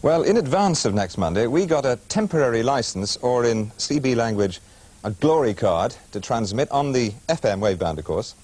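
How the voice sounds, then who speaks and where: A man's voice: mid-range voice, big pitch swings, normal speed, darkish tone, breathy edge, no echo. British male TV news presenter. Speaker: studio presenter. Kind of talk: scripted TV news presenting with wry asides.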